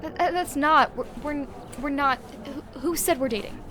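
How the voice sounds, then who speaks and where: A woman's voice: high voice, moderate pace, background noise, close to an everyday voice. A woman playing a playful, bossy younger sister who gets easily flustered, in audio drama dialogue.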